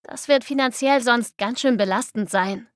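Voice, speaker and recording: A woman playing a sensible, caring young student in a scripted language-learning dialogue, with clear, tidy diction. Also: fairly high pitch, normal speed, no room sound, studio quality, lightly acted. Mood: approving, thoughtful. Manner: clear, tidy, textbook delivery.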